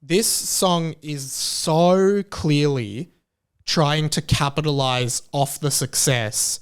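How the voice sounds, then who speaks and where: Chatty Australian man, smooth voice. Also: on the high side, takes it slowly. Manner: chatty, playfully opinionated, jokey. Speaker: podcast host. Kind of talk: casual podcast monologue.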